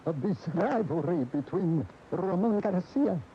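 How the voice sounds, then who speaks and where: Devout priest, solicitous, deliberate, slow-paced, radio play, dull noisy AM sound. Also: male voice, sits high, animated. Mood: concerned. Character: devout priest, fervent bullfighting enthusiast, solicitous. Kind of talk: radio drama acting.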